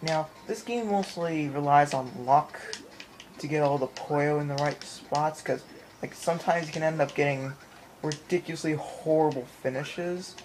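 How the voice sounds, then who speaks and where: Rambling male gamer over clear background noise. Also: a little high, normal speed, darkish tone. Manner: rambling, repeats phrases. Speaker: gamer recording his playthrough. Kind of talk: casual gameplay commentary. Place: indoor room in front of a TV. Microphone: handheld camera or phone filming the screen. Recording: noisy handheld camera recording in a room.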